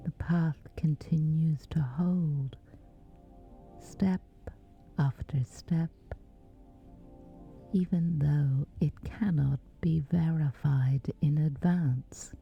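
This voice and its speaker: Female meditation guide, low and gravelly whisper, unhurried short phrases, music underneath. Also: steady tone, highs cut off. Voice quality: whispery, breathy. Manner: slow, hushed, pausing between short phrases. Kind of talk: guided sleep meditation, soft and breathy. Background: background music.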